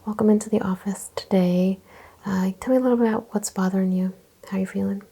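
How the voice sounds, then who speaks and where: Female ASMR practitioner role: gentle, half-whispered, husky and soft-toned, calm exam-room questions and explanations. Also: mid-range voice. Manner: gentle, often dropping into a whisper; explains and questions in short unhurried phrases. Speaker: ASMR host playing a chiropractor.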